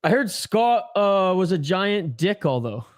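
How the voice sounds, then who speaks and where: A man's voice: sits high, very animated, unhurried, dry recording, studio quality. A young Canadian man hosting a live hockey watch-along, chatting casually, reading viewer comments aloud and swearing freely. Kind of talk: casual live-stream chat.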